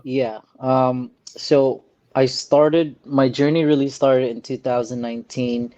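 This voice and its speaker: A man from the Philippines speaks in an earnest, soft-spoken way and often pauses to gather his words. His voice is mellow and keeps a flat, even pitch. The recording is dull and dry. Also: no room sound. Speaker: guest, real estate investor. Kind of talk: casual interview chat.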